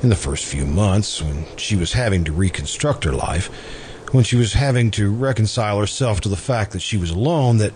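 An actor playing an earnest, hesitant man in love in an old-time radio drama, speaking deliberately and wistfully. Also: lowish voice, lively intonation, darkish tone, slight background noise, lightly acted. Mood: wistful, reflective. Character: earnest man in love with best friend's widow.

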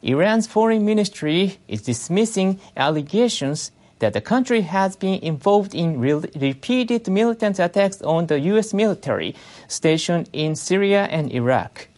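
A news reader delivering scripted international news in a measured, formal way. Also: mid-range voice, animated, a little mellow.

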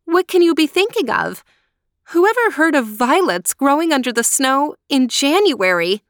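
A woman's voice: sits high, big pitch swings, normal speed, dry recording, studio quality, voice pushed up. A woman voicing a sweet, mistreated young girl who pleads politely in a storybook reading. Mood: pleading.

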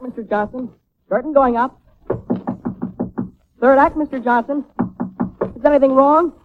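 An actor playing a deferential, easily frightened young theater call boy in a 1940s radio drama. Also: high-pitched. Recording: noisy, tinny old radio recording.

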